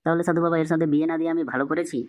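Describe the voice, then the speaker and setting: A man's voice: on the high side, little pitch movement, racing, natural voice. A man voicing a hot-headed but devout middle-aged village father in a Bengali comedy cartoon. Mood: devout, obedient.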